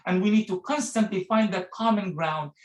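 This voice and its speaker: A man's voice: sits high, moderate pace. A middle-aged man giving a rousing speech over a video call, with a few hesitant fillers. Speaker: guest speaker. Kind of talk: speech at an online meeting. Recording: echoey room.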